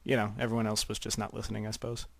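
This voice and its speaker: A man's voice: on the low side, little pitch movement, rapid-fire, vocal fry, dry recording, studio quality. A man hosting a casual podcast, teasing his co-hosts dryly. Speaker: podcast host. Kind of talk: casual chat.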